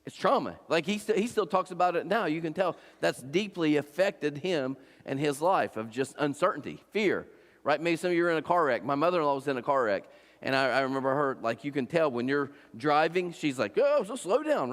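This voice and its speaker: 40s guy from ohio preaching, chatty, lots of "right?", voice a bit high, big reverby church hall. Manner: conversational, repeats and restarts, asks the crowd "right?". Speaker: pastor. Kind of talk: sermon. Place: church auditorium.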